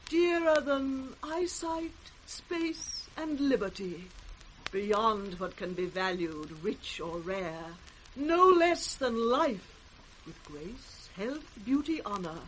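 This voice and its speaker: An actress playing a king's flattering eldest daughter, with a British accent and measured, effusive declamation in radio Shakespeare. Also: mid-range voice, poor audio. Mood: effusive flattery. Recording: faint hiss, old radio recording.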